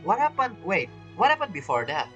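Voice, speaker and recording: Casual gameplay commentator, lively pitch swings, halting non-native phrasing, faint music behind. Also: highs cut off. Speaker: video game streamer. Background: game music.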